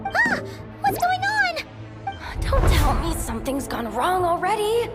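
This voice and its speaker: A woman playing a young, anxious, chatty tech tinkerer in an anime game, expressive and nervously self-deprecating. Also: sits high, a little slow, loud music behind the voice. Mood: panicky, awkward. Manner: nervous, expressive muttering to herself.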